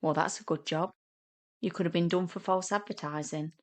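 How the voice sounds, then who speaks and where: A teasing younger sister, played by a woman narrator in a romantic comedy audio story. She is sarcastic and immature. She bickers in an excitable, mocking way. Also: lowish voice, normal speed, lightly acted.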